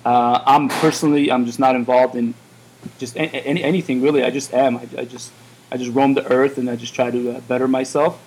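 A man's voice: mid-range voice, little pitch movement, fast talker, darkish tone, a little hiss or hum, low-quality recording. A man in his thirties, born in Iran, who runs a café, chatting casually in an interview and rambling with lots of fillers and restarts. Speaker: café owner.

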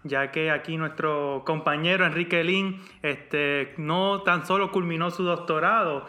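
A man's voice: on the high side, unhurried, bright, forceful tone. A man co-hosting a science podcast in a casual, filler-heavy way. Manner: casual, many "este" fillers. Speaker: podcast co-host.

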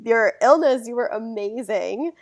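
A woman hosts an interview podcast, her voice a little hoarse from a cold. She greets her guest in a friendly, upbeat way and talks casually, with the odd "um". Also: on the high side, measured pace. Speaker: podcast host.

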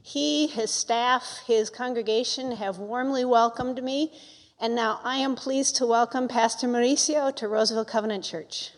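A woman introducing a guest pastor to a congregation, reading a prepared introduction in a measured, formal manner. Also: on the high side, fairly even intonation. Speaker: church member introducing the guest speaker. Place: church sanctuary. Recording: long hall reverb.

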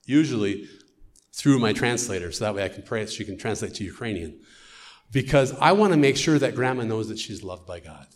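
A man's voice: lowish voice, expressive, a little fast. Earnest American man telling stories. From United States. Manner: earnest storytelling. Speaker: missionary. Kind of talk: church testimony talk.

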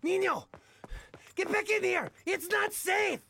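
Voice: extremely high pitch, takes it slowly, vocal fry, bright, forceful tone, heavily acted. An actor playing a male candy patriarch, speaking in a theatrical, emphatic way in an audio drama. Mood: urgent, protective. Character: male candy, cult priest and anxious family father.

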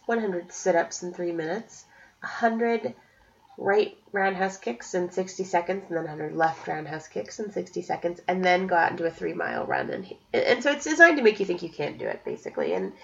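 A woman's voice: fairly low pitch, creaky, fairly bright tone, muffled recording. A woman in her thirties hosting her own podcast from home, sniffly from allergies, chatting upbeat with plenty of fillers. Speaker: podcast host. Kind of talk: casual solo podcast chat. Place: home at night, cats nearby.

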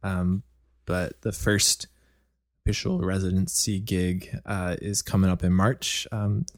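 A man with a somewhat low voice, chatting on a podcast with a wry, joking edge. The sound is dry, with no room echo. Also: fairly even intonation, a little slow. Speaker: band member on a podcast. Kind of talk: casual podcast chat.

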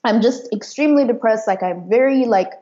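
A woman's voice: a little high, brisk, warm tone, lo-fi sound. A woman in her late twenties from East and southern Africa, telling her own story reflectively as a podcast guest, searching for words as she talks. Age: late 20s. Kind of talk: personal storytelling in an interview.

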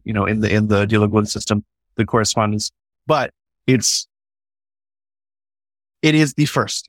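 A man's voice: mid-range voice, dry recording. A man speaking in an enthusiastic, conversational way, swearing casually and often restarting his sentences. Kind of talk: conversational podcast discussion.

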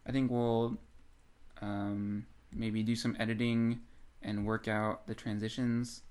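A man's voice: fairly low pitch, level tone, takes it slowly, a little mellow, clear, resonant tone. A man co-hosting a podcast, chatting casually about books in a rambling, geeky, informal way. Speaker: podcast co-host. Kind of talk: casual book discussion chat.

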